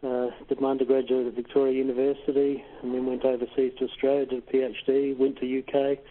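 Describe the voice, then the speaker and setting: A man with a New Zealand accent, a professor explaining things carefully and haltingly as an interview guest over the telephone. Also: mid-range voice, completely flat delivery. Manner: hesitant, careful, simplifying science. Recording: tinny phone line.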